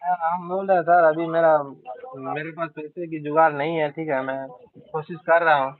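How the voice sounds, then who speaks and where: A man's voice: fairly high pitch, flat delivery, normal speed, dry recording. A man, a borrower on a recorded phone call, deferentially explaining and protesting. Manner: deferential, protesting, addressing the other as sir. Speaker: bank customer, borrower. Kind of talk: phone conversation, pleading and explaining. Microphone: telephone call recording.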